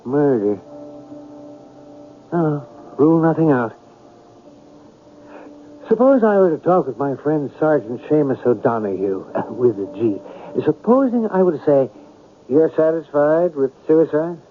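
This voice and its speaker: An actor playing an inquisitive, imaginative writer turned sleuth in a 1970s radio drama, musing in a theatrical, deliberate way. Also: male voice, fairly high pitch, expressive, a little hiss or hum. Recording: muffled, lo-fi old radio recording.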